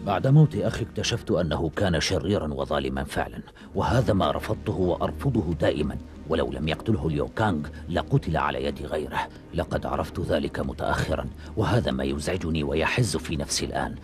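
An actor playing a grave, repentant ninja warrior who was once a traitor, earnest and solemn, in a dubbed cartoon. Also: male voice, a bass voice, animated, fast. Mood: solemn, regretful. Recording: loud music behind the voice.

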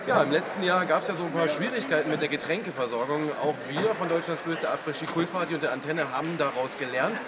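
A man in his thirties presenting an on-location promotional report in a chatty, upbeat announcing manner. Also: a little high. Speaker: radio presenter reporting on site. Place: event site, outdoors and inside big tent. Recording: loud ambient noise, on-camera location sound.

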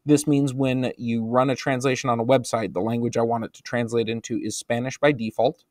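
A man's voice: medium pitch, fairly quick, no room sound. Dry-sounding man walking through a tutorial. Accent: American. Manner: relaxed, explanatory, frequent ums and uhs. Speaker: instructional technology trainer. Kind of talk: screencast tutorial narration.

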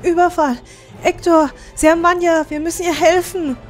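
A woman's voice: very high-pitched, steady tone, normal speed, soft background music. A woman playing a frightened young dwarf heroine, crying out urgently. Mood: panicked.

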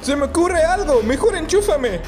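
A man voicing an excitable, boastful, dim little robot core in a Spanish fan dub of a video game, chatty and power-drunk. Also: very high voice, moderate pace. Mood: eager. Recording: echoey reverberant hall, music behind.

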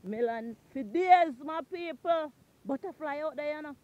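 A Jamaican woman vlogging on a farm, chatting in a lively, exclaiming way while filming on a handheld phone outdoors. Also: high voice, normal speed, muffled recording. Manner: lively, exclaiming, repeats catchphrases. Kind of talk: casual farm tour chat. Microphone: handheld phone camera.

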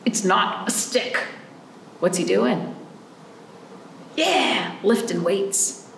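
Playful librarian reading to children. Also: female voice, mid-range voice, drawn-out words, vocal fry, roomy sound, slight background noise, rough recording. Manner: playful, animated, asks children questions. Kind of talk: storytime read-aloud for children. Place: library room in front of a painted wall.

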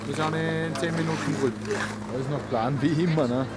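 A man's voice: a little high, a little fast, heavy background noise. A man, a skydiver, chatting casually and easily outdoors. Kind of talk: casual chat.

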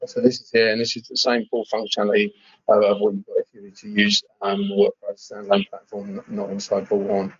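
Man, husky, lowish pitch, informal demo presenter, hesitant repetitions, muffled dry sound. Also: fairly quick, dry recording. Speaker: webinar presenter. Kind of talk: informal software demo walkthrough. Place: screen-share webinar voice-over.

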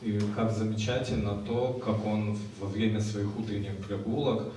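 A man's voice: lowish voice, fairly even intonation, normal speed, warm tone, recorded from a distance, a little hiss or hum. A young man working as an interpreter, speaking after each phrase with hesitant fillers, in a large festival tent. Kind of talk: consecutive interpreting. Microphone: stand mic. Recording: echoey hall, heard from afar.

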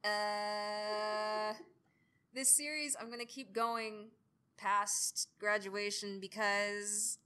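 Bright-voiced young woman presenting informally. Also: mid-range voice, fairly even intonation, very slow, smooth. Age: early 20s. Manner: self-deprecating, rambling. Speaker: student audio drama creator giving a presentation.

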